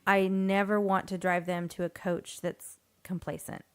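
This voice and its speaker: A woman's voice: mid-range voice, flat delivery, unhurried, smooth. A woman co-hosting a podcast in casual chat, chatty, laughing between words and hedging with fillers. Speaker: podcast co-host.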